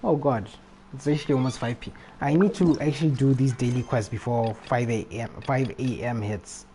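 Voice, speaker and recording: A man's voice: mid-range voice, brisk, a little hiss or hum. A man livestreaming a video game, chatting casually with viewers in an offhand, half-muttering way. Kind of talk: casual chat with viewers while playing. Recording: stream voice with loud game music. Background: video game music.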